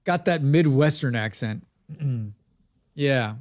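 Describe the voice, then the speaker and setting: A man's voice: medium pitch, lively intonation, takes it slowly, a little mellow. An American man chatting casually with his viewers while playing a game on a live stream, reading and answering their comments. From United States.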